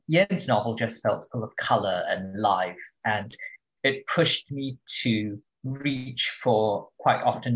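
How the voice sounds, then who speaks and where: Measured low-voiced male translator. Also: rough recording. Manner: measured, articulate. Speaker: translator guest. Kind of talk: thoughtful interview answer. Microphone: home mic on a video call.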